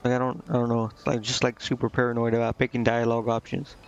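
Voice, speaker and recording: A man's voice: mid-range voice, completely flat delivery, fast talker, clear, resonant tone, highs cut off. A man doing casual game-stream commentary, reading bits aloud and muttering to himself in a hesitant, rambling way.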